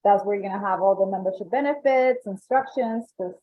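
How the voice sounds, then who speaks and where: A woman's voice: medium pitch, brisk. A woman with a Colombian accent presenting member benefits on a webinar, upbeat and salesy, repeating points for emphasis. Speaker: membership coordinator. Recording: tinny phone-like call audio.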